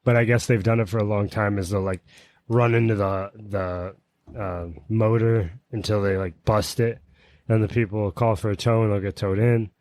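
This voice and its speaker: A man's voice: fairly low pitch, fairly even intonation, normal speed, a little mellow, dry recording. A man co-hosting a podcast in casual banter, blunt and joking, with frequent swearing. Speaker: podcast co-host.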